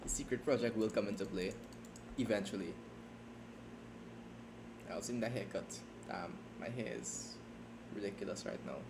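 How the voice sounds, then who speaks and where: Low-voiced guy streaming himself writing, rambly, keeps restarting, keys clacking loud behind him. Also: creaky, noisy background. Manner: rambling, halting, self-deprecating. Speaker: streamer and fan fiction writer. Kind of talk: casual livestream chat while writing. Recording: keyboard typing audible behind voice.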